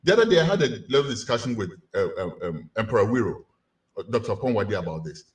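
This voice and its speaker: Ghanaian-accented male lecturer, lively swooping delivery, rhetorical and animated. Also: lowish voice, fast talker. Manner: animated, rhetorical questions to the audience. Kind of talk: community lecture.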